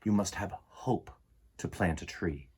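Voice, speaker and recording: A gentle, wise elder from a children's storybook , acted out by a man. The voice is low and husky. He speaks quietly and with measured care. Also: heavily acted. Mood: gentle encouragement.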